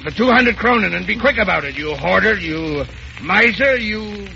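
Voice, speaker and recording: A pompous older count with a high, wildly animated voice. He is theatrical and easily outraged, like a scheming uncle in a radio comedy. The old recording is muffled and clearly noisy. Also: normal speed, heavily acted. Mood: indignant, theatrical. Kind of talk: 1930s-40s radio comedy acting.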